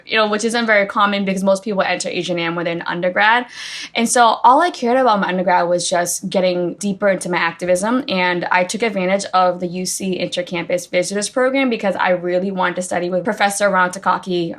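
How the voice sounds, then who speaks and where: A woman's voice: mid-range voice, fairly bright tone. Chatty Boston-raised woman in her thirties. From Boston, United States. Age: 30s. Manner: chatty, frequent "like" and "right?" tags. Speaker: guest, coffee company founder. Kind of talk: casual interview conversation.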